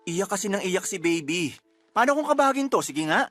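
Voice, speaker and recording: Bright-voiced exasperated husband. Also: male voice, sits high, very animated, fairly quick, dry recording, soft background music. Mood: exasperated, scolding. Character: exasperated husband and protective new father, reproachful. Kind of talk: Tagalog radio drama acting.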